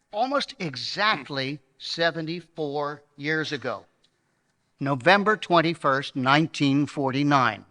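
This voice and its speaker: A man with a fairly high, very bright voice introduces a live show from the stage. He phrases things emphatically, like a showman, and his voice rings in a reverberant hall. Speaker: presenter and member of a radio re-creation troupe. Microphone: stage microphone.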